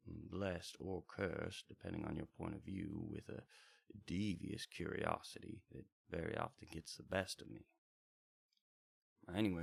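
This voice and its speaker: A man's voice: rumbling low, creaky, fairly bright tone, breathy edge, no echo, exaggerated voice. A man playing a genteel, curious wandering bard, telling first-person stories in wordy, hesitant, old-fashioned formal phrasing for a radio drama. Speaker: host and storyteller of a radio drama series. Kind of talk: in-character first-person storytelling over music.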